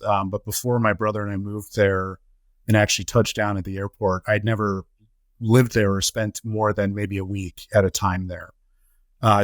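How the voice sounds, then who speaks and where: A middle-aged man with a fairly low voice speaks in a measured, reflective way, pausing often on ums and uhs as he answers questions. The sound is close and dry, with no room around it. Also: dry recording. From United States. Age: 40s to 50s. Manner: measured, many filler "uh"s and "um"s. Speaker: podcast guest, nonprofit CEO.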